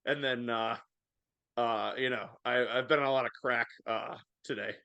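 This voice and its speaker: A man's voice: medium pitch, fairly even intonation, normal speed, creaky, pushed and bright, clear, resonant tone, dry recording, dull audio. A man hosting a remote group reading on a video call, chatting casually in an apologetic, joking way. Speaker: host and director of the reading.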